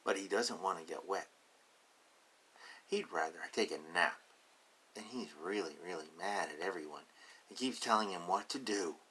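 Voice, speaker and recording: A man's voice: deep voice, moderate pace, long pauses, bright, forceful tone, a little hiss or hum. A man reading a picture book aloud to young children, adding playful, simple explanations in casual asides.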